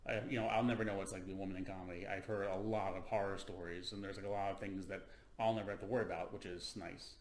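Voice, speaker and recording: Male host, low-ish voice, quick rambling chat, echoey room. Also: steady tone. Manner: fast, rambling, lots of fillers and restarts. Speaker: podcast host, comedian. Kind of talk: casual interview chat. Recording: roomy echo.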